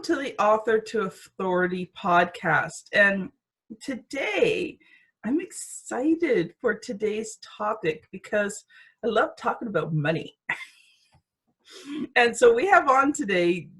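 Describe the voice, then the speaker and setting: A woman's voice: mid-range voice, animated, slow pace, fairly bright tone, slightly rough. A woman speaking as a podcast host, introducing the show and chatting with a guest. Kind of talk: podcast introduction and interview chat.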